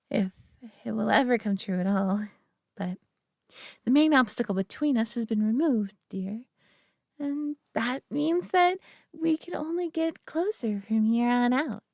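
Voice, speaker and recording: A woman's voice: a little high, dry recording, clean studio sound. A woman voicing a prideful, doting alien who owns a human pet, teasing and condescending yet affectionate in an intimate audio roleplay. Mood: tender, hopeful. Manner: teasing, condescending, affectionate, often hushed and breathy.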